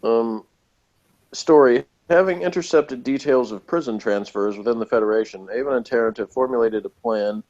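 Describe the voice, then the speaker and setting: A man's voice: medium pitch, moderate pace, a little mellow. Wry man, slightly muffled sound. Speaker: podcast co-host. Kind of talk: casual chat, reading out notes and commenting.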